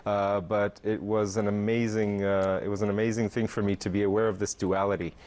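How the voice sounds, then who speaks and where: Man, deeper than usual, hesitant, many pauses, theater performer, middle-aged. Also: level tone. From Israel. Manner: warm, hesitant with many fillers. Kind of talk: TV interview guest.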